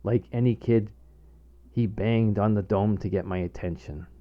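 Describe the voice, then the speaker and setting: A man's voice: on the low side, steady tone, moderate pace. A middle-aged man reading his own scripted humorous short story aloud as narrator. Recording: dull, lo-fi home recording.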